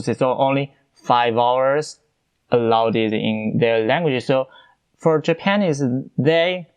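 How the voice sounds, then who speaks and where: A man hosts a solo podcast, talking off the cuff in English, his after Mandarin. He speaks slowly and haltingly, stopping to search for words and repeating himself. His voice sounds smooth and clear. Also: mid-range voice. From China. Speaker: podcast host. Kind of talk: unscripted solo podcast talk.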